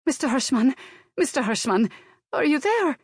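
Woman acting a frantic high-voiced Bulgarian maid. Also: very animated, slightly rough, no echo, studio quality, exaggerated voice. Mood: frantic, urgent. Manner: rushed, urgent, broken English. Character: frantic Bulgarian maid, excitable, urgent, protective. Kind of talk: audiobook character dialogue.

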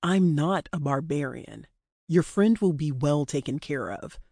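A woman's voice: sits low, lively intonation, measured pace, warm tone, no room sound, studio quality, voice pushed down, exaggerated voice. A woman voicing a commanding, mocking male kidnapper with curt delivery in an audiobook story.

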